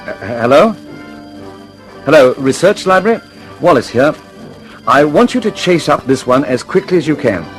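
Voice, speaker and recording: An actor playing a harried, determined British aircraft engineer in a radio drama, urgent and insistent, stammering slightly when flustered. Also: male voice, fairly high pitch, expressive, moderate pace, long pauses, faint music behind, natural voice, poor audio. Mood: urgent, businesslike. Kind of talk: mid-century radio drama acting.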